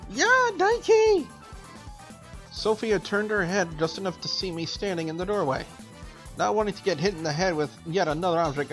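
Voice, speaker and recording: A male gaming host with a voice on the higher side reads story text aloud and drops in casual remarks. Faint music runs behind his voice. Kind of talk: let's play, reading a visual novel aloud with casual asides.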